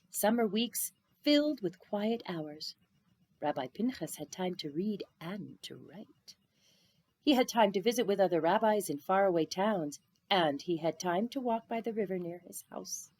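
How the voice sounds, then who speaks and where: A woman reads a children's story aloud with a very animated, swooping delivery. She stretches words for drama and sinks into hushed, whispered phrases. The sound is dry and close, with no room echo. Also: medium pitch. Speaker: storybook reader. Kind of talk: expressive children's picture book read-aloud.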